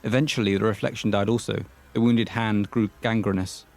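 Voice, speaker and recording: A man narrating a scripted audio horror play in a measured, literary storytelling manner. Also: on the low side, steady tone.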